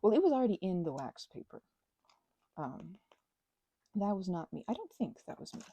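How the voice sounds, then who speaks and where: A mellow, husky woman with a creaky edge chats through a craft project. She rambles whimsically, half to herself and half to her materials, and drifts toward a whisper at times. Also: medium pitch, very animated, moderate pace, no room sound. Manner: whimsical, talks to herself and objects. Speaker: crafting vlogger. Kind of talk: rambling casual craft chat, ASMR-style.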